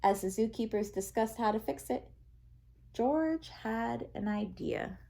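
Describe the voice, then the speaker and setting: A woman narrating a children's picture book aloud in an expressive, deliberate storytelling manner. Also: a little high, creaky.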